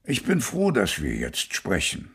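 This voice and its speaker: A man acting an older, stern father in a surreal radio play, with a gravelly, husky voice. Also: low voice, wildly swooping pitch, a little slow, a bit bright, full character voice. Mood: measured, stern. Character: stern, aloof older father of a grown son. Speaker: actor.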